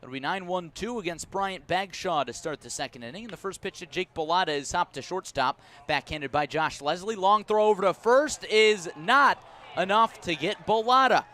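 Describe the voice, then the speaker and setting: A man calling live baseball play-by-play for radio from an outdoor ballpark, in measured, descriptive short phrases. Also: high-pitched, lively intonation, bright, forceful tone. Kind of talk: live radio sports commentary.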